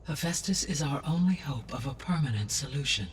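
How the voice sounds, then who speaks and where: An actor playing a calm, formal artificial intelligence in a video game, speaking in measured, precise phrases. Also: male voice, on the high side, far from the mic, a little hiss or hum, lightly acted. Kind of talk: scripted game cutscene dialogue. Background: music.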